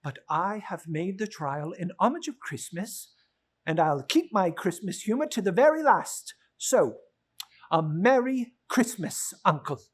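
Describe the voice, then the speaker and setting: A performer plays a good-natured young nephew from a classic storybook. The voice is husky and very animated, earnest and theatrical, rising into exclamations. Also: medium pitch, a little slow. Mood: pleading, good-natured. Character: cheerful, earnest young nephew, warm and pleading. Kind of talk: dramatic reading of a classic story.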